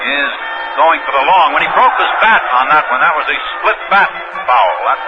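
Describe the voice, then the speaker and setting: A man doing measured, descriptive live play-by-play of a baseball game as a 1960s radio announcer, often restating details. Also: fairly high pitch, expressive, loud music behind the voice, a loud crowd. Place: live at a ballpark during a game. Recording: noisy, muffled vintage 1960s radio broadcast.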